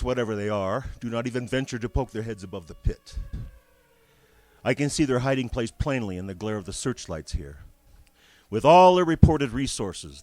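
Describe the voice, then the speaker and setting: An actor playing a self-assured army captain giving a formal field report in a radio drama. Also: male voice, medium pitch, lightly acted. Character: brisk, confident male army signal corps captain. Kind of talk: old-time radio military field report.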